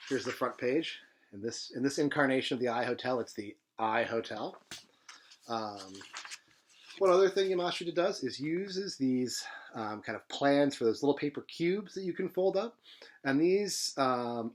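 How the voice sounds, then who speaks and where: A man speaks as a bookstore presenter introducing a novel. His manner is thoughtful and hesitant, with halting phrases. His voice sits at an average pitch for a man, and the style is an informal talk. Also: a little hiss or hum.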